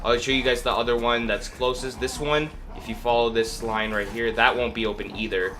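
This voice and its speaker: A man's voice: mid-range voice, steady tone, fairly quick, bright, forceful tone. Sniffly sick man rambling through a walkthrough. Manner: rambling, hesitant, full of fillers. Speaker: gaming video host. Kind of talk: casual gameplay walkthrough. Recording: clear background noise.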